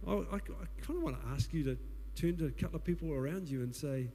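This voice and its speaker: Booming New Zealand preacher, echoing hall. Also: male voice, expressive, fast talker, dark tone. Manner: earnest, asks the congregation questions. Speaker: guest preacher. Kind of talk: sermon. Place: church hall. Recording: long hall reverb.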